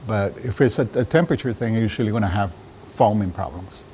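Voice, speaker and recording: A man from Mexico giving casual interview answers about his trade, often halting as he searches for words. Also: lowish voice, mellow, slight background noise. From Juarez, Mexico. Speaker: interviewed draft beer system installer and business owner.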